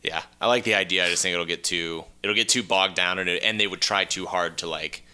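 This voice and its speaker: A man with a deep but very bright, forceful voice co-hosts a casual podcast. He talks bluntly and jokes around, swearing now and then as he banters through pitches. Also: fairly even intonation, fairly quick, smooth. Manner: blunt, profane, joking. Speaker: podcast co-host.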